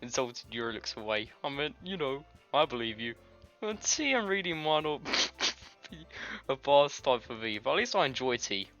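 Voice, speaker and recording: A man's voice: mid-range voice, very animated, moderate pace, a bit bright, highs cut off. A British man reading a game aloud in a jokey way, stumbling over words and adding crude asides. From Britain. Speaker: gaming video host. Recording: music behind the voice. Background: game music.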